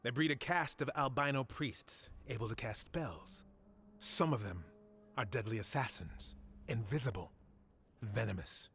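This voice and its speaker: An actor playing a grave scholar in a fantasy game, speaking in a solemn, deliberate, explanatory way. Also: male voice, mid-range voice, fairly bright tone, slight background noise. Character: grave male scholar briefing adventurers on ancient monsters. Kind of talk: scripted fantasy game cutscene acting.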